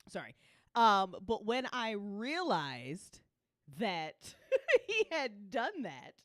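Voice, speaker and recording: Very animated woman, casual talker. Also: medium pitch, drawn-out words. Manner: informal, lightly sweary. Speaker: writer and creator of an audio drama. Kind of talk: casual recollection.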